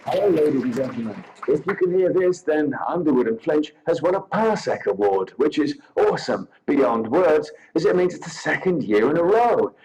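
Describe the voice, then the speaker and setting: A man's voice: fairly high pitch, very animated, moderate pace, hardly any pauses, a little mellow, dull audio. A man delivering a prepared, recorded acceptance speech as a podcast author.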